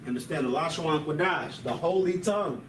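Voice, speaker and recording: A man with a slightly high voice leads a relaxed language lesson, dropping "man" and "you know what I'm saying" into his sentences. He sounds set back from the mic in an echoing room, with a faint hiss underneath. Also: normal speed, recorded from a distance. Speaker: Hebrew language teacher. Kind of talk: casual classroom lesson.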